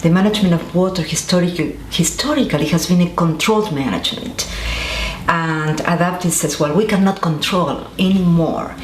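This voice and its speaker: A woman's voice: on the low side, fairly quick. A woman from Argentina, a scientist, answering interview questions thoughtfully with frequent restarts and fillers. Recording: echoey room with faint noise.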